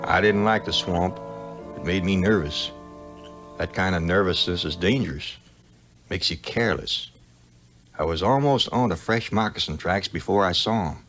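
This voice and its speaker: A man's voice: low-pitched, moderate pace, pauses often. A man playing a folksy frontier sidekick, telling his adventures with dry humor in a 1950s audio adventure. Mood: tense. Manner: folksy, dryly humorous, animated. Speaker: narrator. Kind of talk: scripted first-person storytelling, 1950s audio adventure. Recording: 1950s record, music behind.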